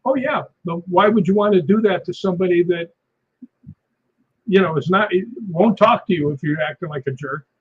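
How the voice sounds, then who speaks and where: A man's voice: high voice, normal speed, husky, narrow, tinny audio. A man who is a guest on a live-stream chat, talking in a wry, joking way and laughing often.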